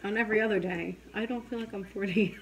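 A woman's voice: mid-range voice, steady tone, fast talker, a little hiss or hum. A woman in her forties co-hosting a casual late-night livestream, telling stories in a chatty way, laughing often and filling her talk with "like", at a dinner table at home. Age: 40s. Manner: chatty storyteller, laughs often, says "like" a lot. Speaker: co-host of a livestream show.

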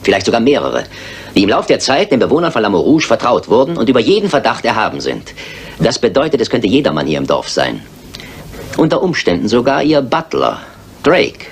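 An actor playing a shrewd, composed detective in a German radio crime drama, speaking precisely and with authority. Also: male voice, medium pitch, huge pitch swings, a little fast, gravelly. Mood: grave, certain. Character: shrewd, composed male detective, coolly certain. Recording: older radio play with background noise.

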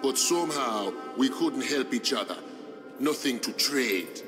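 A man's voice: mid-range voice, animated, unhurried, over music, natural voice. An actor playing a wary, slangy tough man who drives a hard bargain in a video game. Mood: guarded, bargaining. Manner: slangy, guarded. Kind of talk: video game cutscene acting.

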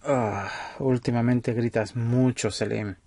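A man playing an authoritarian vampire leader in an audio roleplay, speaking in a measured, condescending way. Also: medium pitch, fairly even intonation, warm tone, dry recording, clean studio sound. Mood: stern, condescending. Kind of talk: ASMR audio roleplay acting.

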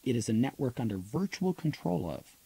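Male audiobook narrator for business nonfiction: husky, relaxed tone, measured expository read. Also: medium pitch, dry recording. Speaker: author reading own audiobook.

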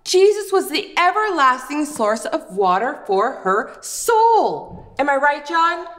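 Animated high-voiced woman, reverberant room. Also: slow pace. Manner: exaggerated, expressive, playful. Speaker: children's puppet show host. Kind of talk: scripted children's show dialogue. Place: indoor wooden puppet stage set.

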